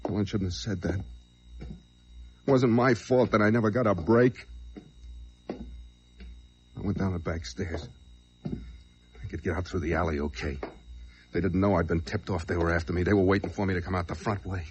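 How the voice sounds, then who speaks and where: An actor playing a desperate, whining small-time crook on the run in an old radio crime drama, stammering, pleading and intense. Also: male voice, low-pitched, fairly even intonation, moderate pace, long pauses, close to an everyday voice. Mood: tense, brooding. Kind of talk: old radio crime drama, dialogue and first-person narration. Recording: noisy vintage radio drama recording.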